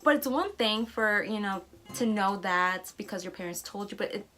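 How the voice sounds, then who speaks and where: Excitable young woman vlogger, faint music. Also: medium pitch, normal speed. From United States. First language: Spanish. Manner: excitable, reads results aloud with surprised asides. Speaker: travel vlogger. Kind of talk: casual vlog reaction.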